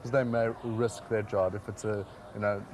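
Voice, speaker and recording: A man's voice: lowish voice, little pitch movement, normal speed, a little mellow, slight background noise. A man from South Africa, a website founder answering interview questions with many fillers and restarts.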